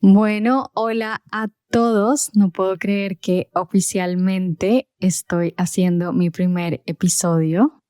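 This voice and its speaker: A woman's voice: fairly low pitch, warm tone, clean tone, dry recording, studio quality. A woman, hosting her own podcast and telling her personal story in an earnest, conversational way. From Colombia. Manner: conversational, earnest, with small fillers. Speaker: podcast host and health coach.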